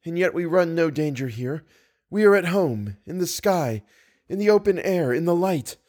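A man's voice: on the high side, animated, normal speed, pitched above the performer's own voice, lightly acted. A man putting on a frightened young woman singer. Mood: trembling. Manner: fearful, pleading, breathy hush.

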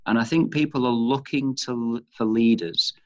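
A man's voice: medium pitch, moderate pace, muffled recording. A middle-aged man with a Manchester accent, head of an organization, answering interview questions on leadership and often repeating words as he works out his point. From England.

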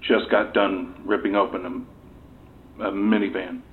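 An actor playing a shaken military door gunner in an audio drama, answering evasively and haltingly, stammering and repeating himself. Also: male voice, sits low, flat delivery. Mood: shaken, numb. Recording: noisy muffled phone-like interview tape.

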